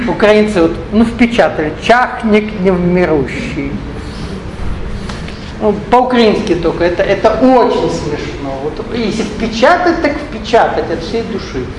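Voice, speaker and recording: A man with a notably high voice teaches a live audience, drifting between his points, joking aside and putting questions to the room. The recording is echoey, with a faint hum of the hall behind him. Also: animated, a little slow. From Russian-speaking, not Ukrainian. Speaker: spiritual teacher. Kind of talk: lecture to an audience.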